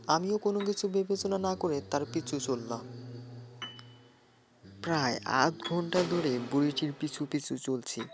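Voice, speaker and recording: A woman's voice: low-pitched, background noise. A woman narrating a scripted first-person horror story as its storyteller.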